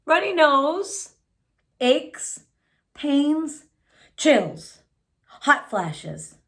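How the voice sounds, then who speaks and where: A woman's voice: sits high, a bit bright, heavily acted. A woman voicing an earnest, fussy male family doctor with a ponderous, mumbling manner in a storybook. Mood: astonished, inquisitive. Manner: ponderous, mumbling, listing things deliberately.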